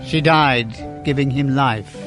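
An actor playing a frightened, regretful old miser in a family radio drama, stammering and exclaiming theatrically. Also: male voice, medium pitch, slow, over music, natural voice. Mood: sorrowful.

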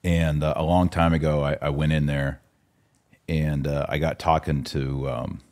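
Male podcast host, low-pitched voice, informal conversational storyteller who quotes dialogue as he goes. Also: level tone, a little slow, darkish tone.

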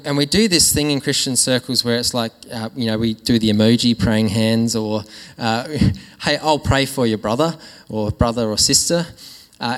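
A man preaching to his congregation in a relaxed, conversational sermon, often restarting his phrases. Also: mid-range voice. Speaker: pastor. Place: church hall. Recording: long hall reverb.

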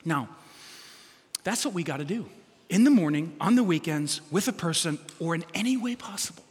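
A middle-aged pastor with a loud voice preaching, animated and self-deprecating, with sudden loud bursts as he tells stories. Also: a little high, normal speed, slightly rough. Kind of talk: sermon. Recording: long hall reverb.

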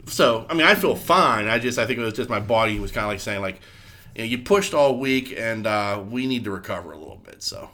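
A man's voice: fairly low pitch, expressive, brisk, pushed and bright. A man co-hosting a podcast, chatting casually with a stuffy, congested voice, rambling, swearing and filling his talk with "like". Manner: rambling, swears freely, fills with "like". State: congested from a cold. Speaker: podcast co-host. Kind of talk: casual chat.

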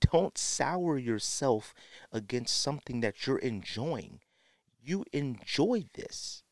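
A man's voice: mid-range voice, a little mellow, dry recording, studio quality. A middle-aged man hosting a podcast in a casual, opinionated monologue, speaking emphatically and repeating phrases for effect. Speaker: podcast host.

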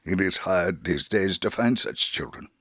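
A man's voice: low voice, animated, unhurried, fairly bright tone, husky, no echo, studio quality, voice pushed down, heavily acted. A man voicing a weary, hopeless religious man in his nineties, resigned and plaintive, in a dramatic story reading.

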